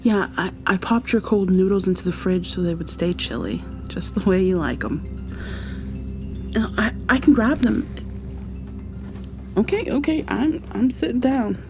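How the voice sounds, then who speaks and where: A woman playing an affectionate, playfully dominant girlfriend in an intimate ASMR roleplay, murmuring softly and breathily to the listener, often sliding into whispers. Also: measured pace, long pauses, music in the background, lightly acted. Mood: affectionate, cozy. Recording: audible background hiss with low rumble.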